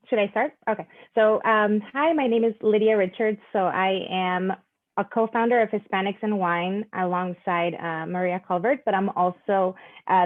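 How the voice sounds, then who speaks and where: A woman's voice: medium pitch, dry recording. A woman from Panama, a guest chatting casually and laughing often, heard over a video call. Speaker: guest, wine events founder. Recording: tinny phone-like call audio.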